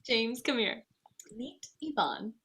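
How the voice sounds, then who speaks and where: An actress playing a gushing, tipsy, eager bride-to-be in a comedy table read. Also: female voice, fairly high pitch, takes it slowly. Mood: tipsy, friendly. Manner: excitable, effusive.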